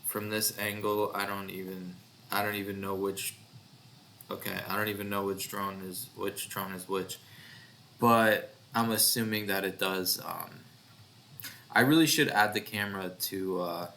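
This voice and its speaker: Male developer, casual screen demo: low-pitched, very unhurried, hesitant thinking-aloud delivery, faint noise behind. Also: fairly even intonation, vocal fry, bright, forceful tone. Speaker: developer presenting own project.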